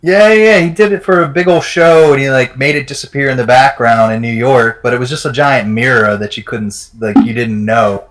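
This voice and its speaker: A man's voice: mid-range voice, fairly quick, hardly any pauses. Upbeat man hosting a livestream. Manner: energetic, promotional. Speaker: livestream host.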